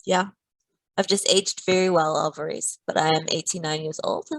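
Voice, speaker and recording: A woman's voice: low voice, steady tone, normal speed, a bit bright, no echo, poor audio. A woman teaching a live online maths lesson, playful and teasing, walking through each step.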